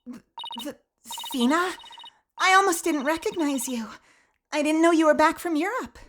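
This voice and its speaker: A woman's voice: high-pitched, moderate pace, slight background noise, pitched above the performer's own voice, lightly acted. A woman voicing a prim, nervous young female student who speaks politely and hesitantly.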